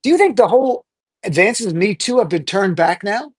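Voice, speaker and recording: A man's voice: high-pitched, sing-song, a little fast, slightly rough, dry recording, clean studio sound. A middle-aged American man hosting a talk show in a conversational interview style.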